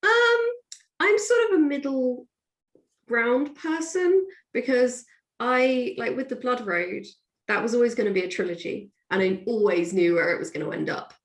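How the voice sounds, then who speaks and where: A woman's voice: a little high, expressive, moderate pace. A woman guest author talking casually and with animation in an interview, often acting out what people say. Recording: echoey, roomy sound.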